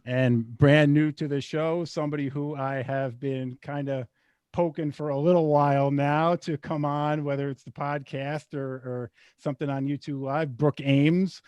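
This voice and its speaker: A man's voice: on the high side, steady tone, a little slow, a little mellow, clear, resonant tone, dry recording. A man hosting a live show, talking loosely with lots of fillers. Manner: loose, filler-heavy, friendly. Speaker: host.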